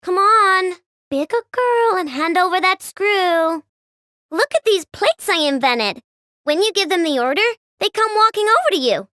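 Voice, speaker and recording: A woman's voice: extremely high pitch, animated, normal speed, bright, forceful tone, dry recording, clean studio sound, exaggerated voice. A bubbly sugar-mad girl inventor. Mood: playful. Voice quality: bubbly, childlike and bouncy. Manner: playful, wheedling, with gasps and giggles. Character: cheerful young girl inventor, sugar-loving and playful. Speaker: game character voice. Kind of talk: game voice lines.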